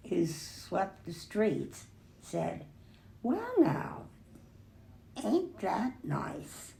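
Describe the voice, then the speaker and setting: An older woman reading a children's picture book aloud expressively, pausing to remark on the pictures. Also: lowish voice, gravelly, dark tone, a little hiss or hum. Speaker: storybook reader.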